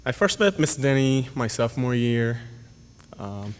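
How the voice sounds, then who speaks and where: A young man, a graduating senior whose first language is Yoruba, reading a formal, earnest speech at a podium with halting pauses and fillers. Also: mid-range voice, very animated, clear, resonant tone. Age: young adult. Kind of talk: prepared speech read at a podium. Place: school auditorium. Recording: hall reverb.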